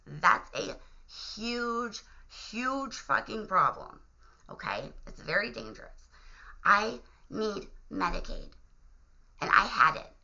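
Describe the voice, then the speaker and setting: A hoarse woman from Virginia making an urgent, emphatic appeal straight to camera, sometimes dropping to a whisper. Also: lowish voice, pushed and bright. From Virginia, USA. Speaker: vlogger. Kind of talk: impassioned direct-to-camera appeal. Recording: dull lo-fi recording.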